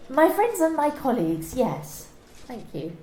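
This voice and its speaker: A woman's voice: high-pitched, big pitch swings, a little slow, a little mellow, slight background noise. A woman acting a guarded, incredulous office employee in an amateur radio play, wary and questioning. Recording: roomy, echoey home recording.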